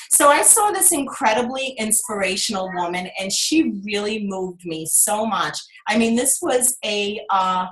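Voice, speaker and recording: A woman's voice: mid-range voice, a bit bright, echoey room, low-quality recording. A woman hosting a conference call, earnestly and effusively introducing a guest. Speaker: call host. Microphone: phone or computer on a conference call.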